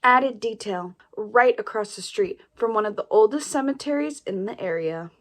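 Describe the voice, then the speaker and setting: A woman's voice: medium pitch, moderate pace, close to an everyday voice. A woman playing a wry adult sister who trades dry jokes in audio play dialogue. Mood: wry, amused. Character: wry adult sister joking about family ghosts.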